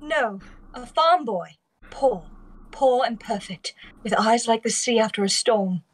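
A woman's voice: a little high, expressive, measured pace, rough recording. A woman playing a defiant, grieving young noblewoman, dramatic and accusing, in a live script read. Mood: bitter, passionate. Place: indoors, on a group video call.

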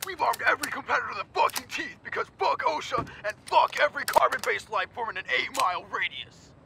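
A man as a manic high-pitched foul-mouthed sports announcer. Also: sing-song, fast talker, gravelly, noisy background, full character voice. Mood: manic, gloating. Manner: profane, bombastic, hyped. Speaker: sports commentator. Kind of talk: over-the-top parody sports commentary.

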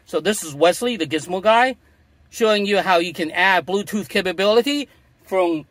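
A man's voice: high-pitched, moderate pace, fairly bright tone, no echo. A middle-aged man demonstrating a gadget from inside a parked car, explaining in a repetitive, salesy way. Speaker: product demonstrator. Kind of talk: product demo.

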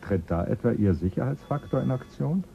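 A skeptical, probing visitor. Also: male voice, mid-range voice, lively intonation, a little fast, gravelly, dull audio, very noisy. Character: skeptical questioning visitor Mister Wagner. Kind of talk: German radio drama acting.

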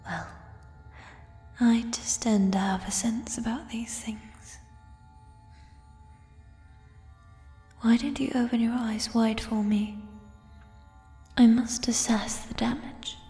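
A woman voicing a gentle, shy giant female troll in a soft, breathy ASMR whisper, with tender, formal phrasing. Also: on the high side, fairly even intonation. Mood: gentle, bemused. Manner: slow, formal old-fashioned phrasing, tender. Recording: long reverb, music behind. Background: fantasy ambience music.

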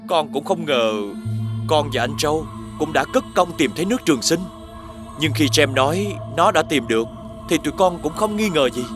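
A man playing a sly prince who sounds dutiful while accusing his brother in an animated fairy tale. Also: on the high side, normal speed, over music, lightly acted. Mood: falsely sincere.

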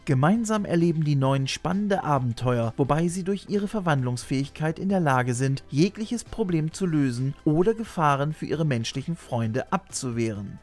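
A man's voice: on the high side, animated, moderate pace, hardly any pauses, a little mellow. A middle-aged man presenting a scripted video essay as host of a retro TV channel, recorded on a desk mic in a small room. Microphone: desk microphone. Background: music.

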